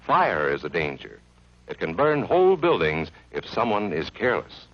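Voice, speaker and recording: A man's voice: deep voice, wildly swooping pitch, moderate pace, highs cut off. A man narrating an educational film for children in an earnest, friendly, instructive way, from an old 1950s soundtrack.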